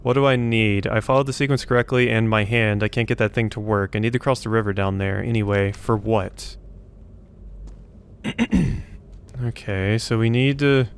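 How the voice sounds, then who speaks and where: A man's voice: lowish voice, fairly even intonation, normal speed, smooth. A man doing casual gameplay commentary, muttering to himself as he thinks aloud in a self-deprecating way. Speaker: let's play host.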